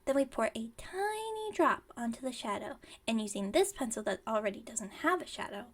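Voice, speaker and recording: Woman as scatterbrained young witch running a shop: high voice, theatrical salesmanship, chatty rambling, ASMR roleplay. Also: big pitch swings, fairly quick. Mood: eager, salesy. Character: flustered, dramatic, scatterbrained young female witch shopkeeper.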